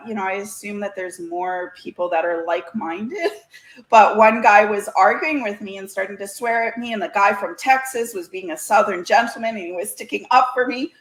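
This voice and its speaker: A woman in casual conversation as an interview guest, chatty and folksy, often breaking into laughter. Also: medium pitch. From Canada. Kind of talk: casual chat. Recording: roomy, echoey sound.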